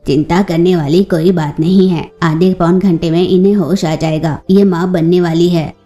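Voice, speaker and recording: A woman voicing a calm, professional female crow nurse in a cartoon story, measured and reassuring. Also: fairly low pitch, faint music behind, voice pushed down, lightly acted. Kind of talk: Hindi children's cartoon story acting.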